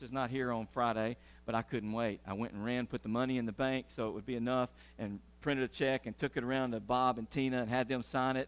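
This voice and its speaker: Middle-aged man, a pastor, animated pulpit delivery, mid-pitched, church sanctuary. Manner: animated, gesturing while pacing the stage. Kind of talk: sermon with church announcements.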